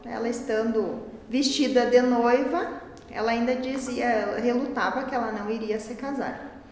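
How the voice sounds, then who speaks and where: A woman's voice: a little high, steady tone, moderate pace, a little mellow. Southern Brazilian woman, heavily echoing room. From Rio Grande do Sul, southern Brazil. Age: 40s. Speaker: adult student interviewee. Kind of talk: storytelling in interview. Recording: very echoey hall, music underneath.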